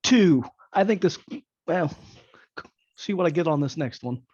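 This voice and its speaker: A man's voice: a little high, expressive, unhurried, a little mellow, breathy edge, no echo, dull audio. A man talking casually as a player in a tabletop game, heard over voice-chat audio.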